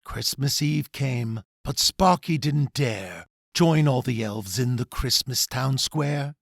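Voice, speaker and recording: Slow, expressive male storyteller, creaky husky voice. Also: mid-range voice, no echo, studio quality. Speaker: author reading own children's book. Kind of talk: rhyming storybook narration.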